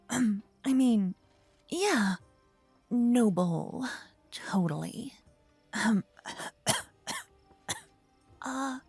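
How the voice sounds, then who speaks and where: A woman playing an earnest, bashful young female paladin in an affectionate fantasy ASMR roleplay, speaking softly and gently to the listener. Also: a little high, heavily acted. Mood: bashful, fond. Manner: soft, breathy, gently flustered, slightly halting.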